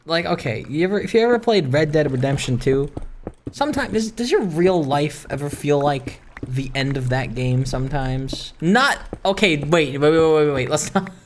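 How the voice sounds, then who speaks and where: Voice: mid-range voice, lively intonation, normal speed, a little hiss or hum. A gaming video host giving casual, rambling commentary in a self-deprecating, ranting way that wanders from one thought to the next.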